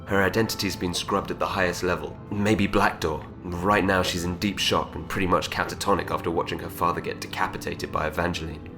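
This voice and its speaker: Casting: actor for steadfast sci-fi aide, male, deep register, authoritative and grave, audio drama with a clear music bed. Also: flat delivery, fairly quick, few pauses, close to an everyday voice. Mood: grave, consoling. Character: urgent, steadfast male officer and aide to a fallen queen. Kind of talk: full-cast sci-fi audio drama acting.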